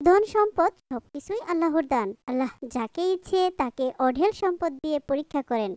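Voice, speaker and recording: High-voiced, kind cartoon mother. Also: expressive, a little fast, a little mellow, no room sound, studio quality. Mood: earnest teaching. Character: kind, pious mother patiently teaching her child. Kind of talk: Islamic moral cartoon voice acting.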